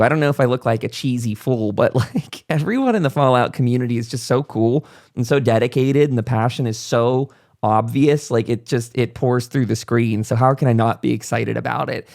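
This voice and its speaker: A man's voice: mid-range voice, gravelly, darkish tone. Enthusiastic, rambling male podcast host. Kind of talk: casual interview chat.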